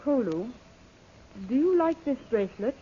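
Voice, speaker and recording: Headstrong young heroine, high and animated, anxious coaxing delivery, vintage radio melodrama. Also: female voice, normal speed, slight background noise. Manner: pleading, melodramatic. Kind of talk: old-time radio adventure serial acting. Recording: muffled old radio recording.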